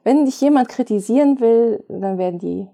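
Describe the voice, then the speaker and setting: A woman's voice: on the high side, normal speed, vocal fry, mellow. A woman talking in a casual, chatty way in conversation. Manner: chatty, laughs often while talking.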